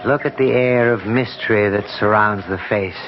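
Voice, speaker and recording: An actor playing an earnest, superstitious scholarly gentleman with a solemn theatrical manner in old-time radio style. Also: lowish voice, normal speed, lightly acted. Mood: awed. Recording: muffled, slightly noisy 1970s radio broadcast.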